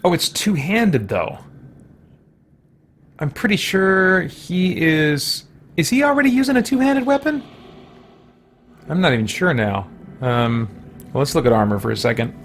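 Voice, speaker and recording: A man with a fairly high voice and very lively intonation comments casually on a game. He rambles and jokes, muttering to himself, with some noise behind him. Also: a little slow, long pauses. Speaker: livestreaming game player, host. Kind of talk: casual gameplay commentary with put-on in-character quips.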